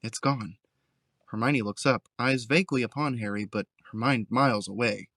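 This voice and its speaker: A male narrator reads scripted scene descriptions for an audio drama. His medium-pitched voice is recorded very dry, with no room sound. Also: moderate pace, a bit bright, no echo.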